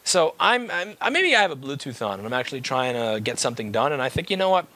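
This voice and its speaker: A man's voice: medium pitch, sing-song, quick pace, pushed and bright. A man giving a blunt, exasperated, unscripted rant to viewers, stressing points by repeating phrases. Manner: exasperated, occasionally swearing. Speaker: repair business owner making a video.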